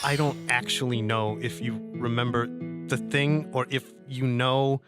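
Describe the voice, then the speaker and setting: A man's voice: mid-range voice, steady tone, unhurried. A man playing in a tabletop roleplay game, talking casually. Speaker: player on a roleplay show. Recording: music clearly playing behind the voice.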